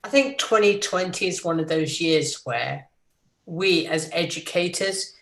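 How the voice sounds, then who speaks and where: A woman's voice: on the low side, a little slow, a bit bright, reverberant. A woman whose first language is Swedish, a guest teacher who chats in a nervous, hesitant, self-deprecating way. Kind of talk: casual chat.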